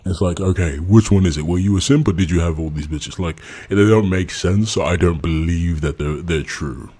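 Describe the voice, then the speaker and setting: Husky low-voiced man. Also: moderate pace, dark tone. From England. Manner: candid and blunt, laughs often. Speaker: YouTube channel host. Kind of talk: casual subscriber Q&A.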